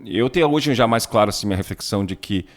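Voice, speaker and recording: A man's voice: on the low side, lively intonation, fast talker. A man in his forties from São Paulo with a São Paulo accent, a company CEO chatting relaxedly as an interview guest, often adding tag questions. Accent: São Paulo Portuguese. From São Paulo, Brazil. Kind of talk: casual interview storytelling.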